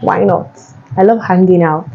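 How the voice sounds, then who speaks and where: Low-voiced Nigerian woman chatting. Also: normal speed, highs cut off, a little hiss or hum. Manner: chatty, repeats herself, many fillers. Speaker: vlogger. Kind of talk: casual Q&A chat answering viewers.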